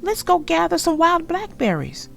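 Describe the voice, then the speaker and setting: Woman voicing a high, bossy little girl bear cub. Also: huge pitch swings, normal speed, vocal fry, a bit bright, faint music behind, full character voice. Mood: eager. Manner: eager, pushy, exclaiming. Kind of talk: storybook character voice.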